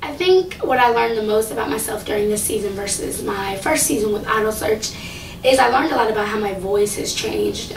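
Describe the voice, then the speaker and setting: A young woman rambles through a casual talk to camera, full of fillers. Her voice sits fairly high for a woman but carries a light rasp. It was recorded a little way off the mic, in an echoey room. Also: recorded from a distance, slight background noise. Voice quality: low, slightly raspy. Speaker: singing contestant.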